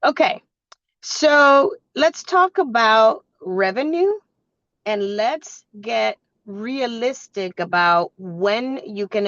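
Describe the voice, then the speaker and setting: A woman with a slightly hoarse voice from a cold, presenting like a business coach in a conversational, instructive way. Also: mid-range voice, fairly bright tone. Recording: echoey room, dull muffled sound.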